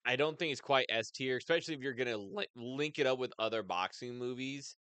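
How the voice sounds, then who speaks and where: A man's voice: medium pitch, a little fast, sharp tone, smooth, dry recording. A man co-hosting a podcast, chatting casually and giving firm opinions in informal slang. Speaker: podcast co-host. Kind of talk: casual chat ranking movies.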